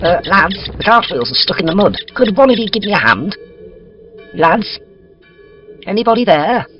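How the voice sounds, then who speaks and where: A man's voice: high-pitched, big pitch swings, normal speed. A man playing a matey, hapless rider of doomsday in a comedy audio drama, talking chummily to his lads. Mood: needy, calling out. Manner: chummy, informal. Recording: muffled audio with faint music.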